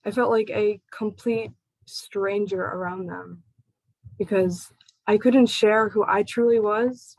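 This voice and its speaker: Young woman, slow and halting personal talk, dry close sound. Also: mid-range voice, steady tone, gravelly, darkish tone, dry recording, low-quality recording. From United States. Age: young adult. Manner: slow, halting, pausing between phrases. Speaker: speaker sharing her personal story. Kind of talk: personal talk to a support group.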